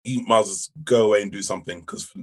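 A young man co-hosting a casual podcast, hoarse from shouting, excitable and quick to laugh. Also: lowish voice. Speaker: podcast co-host. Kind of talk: casual chat.

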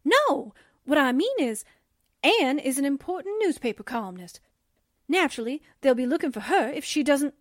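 A high-pitched, plucky newspaperwoman sidekick, slangy and jittery. She blusters and wisecracks in old radio drama style, recorded close and dry. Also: very animated, normal speed, no room sound. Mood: nervous bluster. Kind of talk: 1940s radio drama acting.

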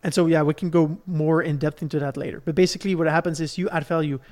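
A man from Belgium with a fairly high-pitched voice speaks as a podcast host. He explains in a conversational, didactic way, building his points one step at a time and often checking that the listener follows. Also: steady tone, fast talker, darkish tone.

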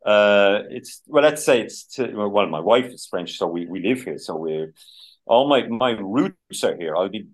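A man with a Dublin Irish accent talks in a loose, chatty way, circling back with lots of "you know"s and restarts. His voice sits on the low side. It sounds like a relaxed interview guest. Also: a little slow. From Dublin, Ireland. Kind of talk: casual interview chat.

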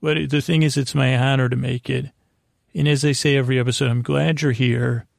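A man hosting a bedtime podcast in a gravelly, creaky voice, meandering and halting as he trails off mid-sentence. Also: mid-range voice, little pitch movement, no echo, studio quality. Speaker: podcast host. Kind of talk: rambling bedtime monologue to put listeners to sleep.

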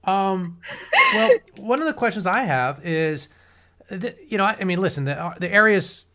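A man's voice: high voice, animated, moderate pace, pushed and bright. A man in his forties interviewing guests in a casual, rambling way, often restarting his sentences. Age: 40s.

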